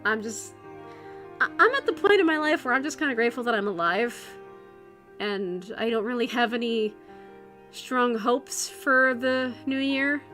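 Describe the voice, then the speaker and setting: High, bright-voiced woman streamer going hoarse. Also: animated, normal speed, faint music behind. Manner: rambling, self-interrupting, many restarts. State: hoarse, losing her voice. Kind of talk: casual livestream chat.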